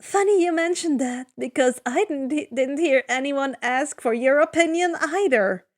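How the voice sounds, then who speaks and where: A fearless teenage demigod girl, read by a woman storyteller. Her voice is on the high side, and she answers with biting confidence and a sharp tongue. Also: moderate pace, natural voice. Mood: venomous.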